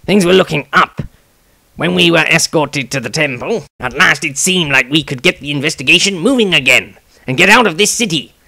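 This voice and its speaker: A man's voice: high voice, gravelly, pushed and bright, heavily acted. A man voicing an earnest, aggrieved adventurer, reading his diary as scripted fantasy narration. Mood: bitter.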